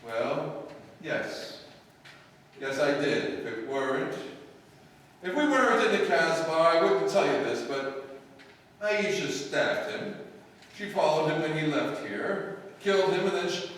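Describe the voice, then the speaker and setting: An actor playing a smooth, devious expatriate English impostor, suave and genteel, in a radio drama. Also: male voice, fairly high pitch, lively intonation, a little slow, long pauses, a bit bright, a little hiss or hum. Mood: suave, cynical. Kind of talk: old-time radio drama acting. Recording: echoey hall, distant mic.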